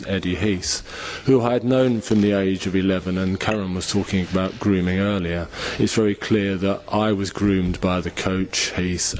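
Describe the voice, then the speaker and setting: A man in his sixties, a former footballer, giving personal testimony at a forum. He is soft-spoken and hesitant, with many fillers, and leans in to a microphone. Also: low voice. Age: 60s. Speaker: panel speaker, former footballer. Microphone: speaking into a microphone on stage.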